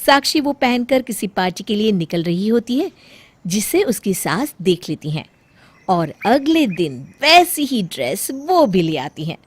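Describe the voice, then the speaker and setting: A woman storyteller reading a scripted tale in an expressive narrating style. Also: medium pitch, measured pace, husky, no echo.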